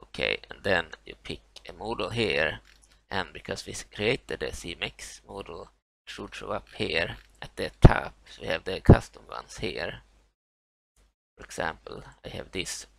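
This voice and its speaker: A man with a Swedish accent, a native Swedish speaker, talking through a software tutorial, halting with many fillers and restarts. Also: low-pitched, vocal fry, no room sound, rough recording. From Sweden. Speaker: tutorial maker. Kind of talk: screen-recorded software walkthrough.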